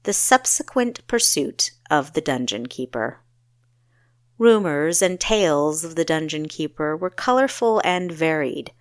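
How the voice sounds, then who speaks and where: A woman narrating a fantasy story in an expressive, dramatic storytelling style with deliberate emphasis. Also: lowish voice, no echo, clean studio sound. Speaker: audiobook narrator.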